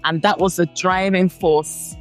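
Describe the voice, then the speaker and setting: A woman's voice: fairly low pitch, fairly even intonation, measured pace, soft background music. A woman with a Nigerian accent, a company founder speaking as an interview guest in an emphatic, encouraging way. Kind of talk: motivational interview talk.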